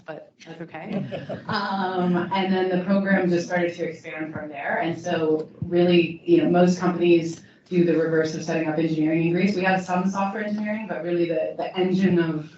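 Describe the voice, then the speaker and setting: A woman founder answering a panel question in a steady, measured way, with frequent restarts. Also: deep voice, a little hiss or hum. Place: event hall. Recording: distant mic in echoey hall, dull sound.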